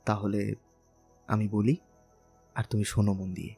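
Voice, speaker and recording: A man's voice: on the low side, little pitch movement, a little slow, gravelly, dark tone, breathy edge, exaggerated voice. A man playing a tender, teasing young bridegroom in a romantic audio drama, speaking earnestly and persuasively. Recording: music bed under the voice.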